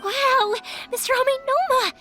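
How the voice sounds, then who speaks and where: A woman's voice: very high voice, a little slow, sharp tone, faint music behind, full character voice. A woman voicing a cheerful, chatty young female fireworks maker in a video game, bubbly and emphatic. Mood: grateful, delighted. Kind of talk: animated video game dialogue.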